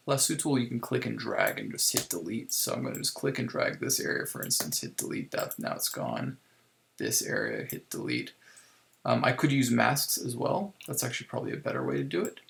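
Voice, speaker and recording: Guy doing a laid-back screen tutorial, super gravelly fry in his voice, medium pitch, kinda talking it through as he goes. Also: fairly bright tone. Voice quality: creaky, gravelly. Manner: informal, improvised, thinking aloud while working. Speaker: software tutorial instructor. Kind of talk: casual screen-recorded tutorial, explaining step by step.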